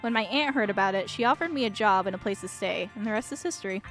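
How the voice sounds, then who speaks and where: A woman's voice: medium pitch, expressive, fast, faint music behind, close to an everyday voice. A woman voicing a friendly, easygoing young diner worker in a visual novel, with a folksy, chatty manner. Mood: matter-of-fact, loyal. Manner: folksy, laid-back, chatty. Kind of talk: visual novel character read-aloud.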